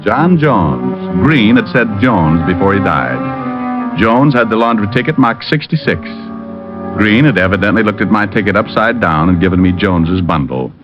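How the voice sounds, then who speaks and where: A wry, sardonic private eye from vintage radio, wisecracking and hardboiled. His low voice swings expressively through each line, on a dull old recording. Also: big pitch swings, normal speed, music in the background. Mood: intent, reasoning. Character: wisecracking, quick-witted male private detective. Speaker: narrator and lead actor. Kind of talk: old-time radio detective acting, hardboiled narration.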